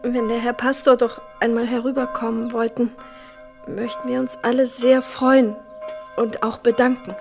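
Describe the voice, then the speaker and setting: A woman's voice: a little high, little pitch movement, normal speed, creaky, music in the background. An actress playing a humble peasant woman, deferential and plaintive, in a radio play. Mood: pleading.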